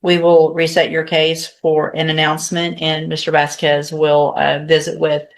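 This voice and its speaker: A woman's voice: sits low, completely flat delivery. Woman judge ruling from the bench. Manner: official, with hesitant pauses. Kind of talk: courtroom ruling, spontaneous speech. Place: courtroom.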